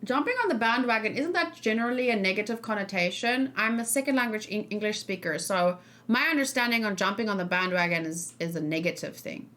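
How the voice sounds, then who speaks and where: A woman's voice: medium pitch, lively intonation, fairly quick, few pauses, fairly bright tone. Casual female streamer, English speaker. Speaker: streamer reacting to game trailers. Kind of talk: casual livestream chat.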